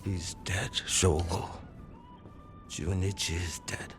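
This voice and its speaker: A bitter old crime patriarch speaks with weary, cold contempt in a low voice. Faint music plays under the game cutscene. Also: male voice, fairly even intonation, takes it slowly. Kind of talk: video game cutscene acting.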